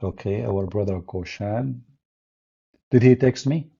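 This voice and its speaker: Husky-voiced man hosting a livestream. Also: fairly low pitch, measured pace, mellow. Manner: mocking, sarcastic, non-native English phrasing. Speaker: livestream host. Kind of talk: livestream talk with callers. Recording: dull lo-fi stream audio.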